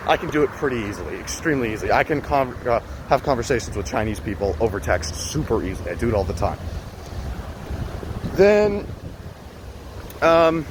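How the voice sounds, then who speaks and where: A young man chatting casually while walking city streets and vlogging, rambling and swearing now and then. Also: fairly high pitch. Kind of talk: casual walking vlog talk. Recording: street noise behind.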